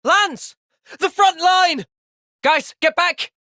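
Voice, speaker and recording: A man's voice: very high voice, slow pace, bright, forceful tone, dry recording, clean studio sound, full character voice. A man playing an earnest, thoughtful young soldier hero with a British accent in a video game. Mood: urgent shouting. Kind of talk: video game cutscene voice acting.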